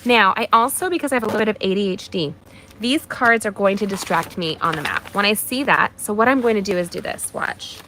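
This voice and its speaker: A woman's voice: medium pitch, animated, fairly quick, a little hiss or hum, poor audio. A woman hosting a live card-making stream, chatting and encouraging her viewers as she works, her voice a little nasal from allergies. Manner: warm encouraging, talks to viewers by name. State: mild allergies. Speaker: craft demonstrator hosting a livestream. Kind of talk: chatty live craft demonstration.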